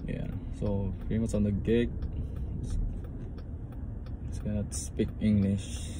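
A man's voice: lowish voice, little pitch movement, takes it slowly. A man in his thirties from the Philippines with a Filipino accent, chatting casually and rambling in loose English while vlogging from his car on a phone. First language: Tagalog. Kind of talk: casual vlog chat. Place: inside a car. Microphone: phone camera on the dashboard. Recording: car and road noise.

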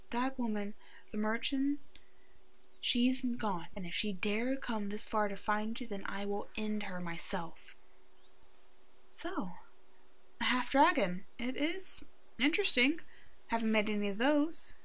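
A woman voicing a brave, protective werewolf in an ASMR roleplay, soothing and halting, with a soft, hushed delivery. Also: fairly high pitch. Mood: careful, calming.

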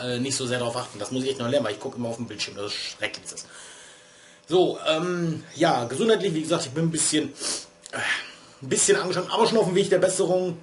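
A man's voice: medium pitch, slight background noise. A man in his thirties vlogging from a small room at home. He rambles casually and pokes fun at himself, and he sounds a little stuffy from a cold. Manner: chatty, digressive, self-deprecating. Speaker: vlogger and streamer. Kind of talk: casual rambling vlog talk. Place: small room at home, lit by LED strips.

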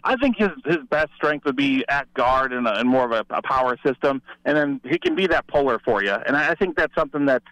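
A man's voice: mid-range voice, animated, fairly quick, few pauses, a bit bright, dry recording, lo-fi sound. A man giving conversational football analysis as a guest sports reporter.

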